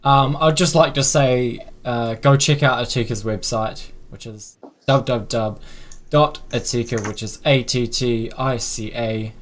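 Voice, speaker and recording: Croaky-voiced New host. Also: male voice, medium pitch, steady tone, a little slow. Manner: chatty, filler-heavy wrap-up. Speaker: livestream host. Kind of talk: casual livestream chat.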